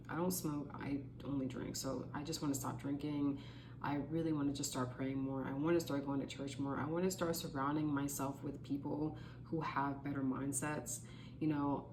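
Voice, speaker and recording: A woman's voice: low-pitched, noisy background. A woman in her late twenties giving casual motivational advice to camera, earnest and chatty, with lots of "like" and "you know". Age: 20s. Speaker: vlogger.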